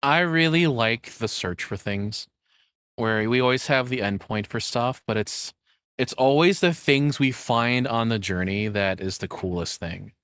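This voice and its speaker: A man's voice: medium pitch, measured pace, a bit bright, clean tone, dry recording. A man giving casual, spontaneous reaction commentary as a streamer, enthusiastic and informal, speaking close to the microphone.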